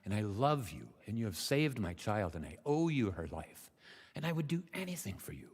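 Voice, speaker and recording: A man's voice: on the low side, sing-song, normal speed, slightly rough. A man voicing a grateful, earnest mother swallow who speaks emphatically and repeats herself. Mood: tender. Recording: live hall with long reverb.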